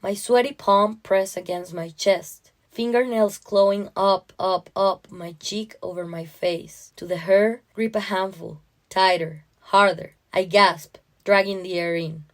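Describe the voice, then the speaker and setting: A woman's voice: mid-range voice, steady tone, a little slow, hardly any pauses. Woman reading a short story expressively. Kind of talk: dramatic first-person story narration.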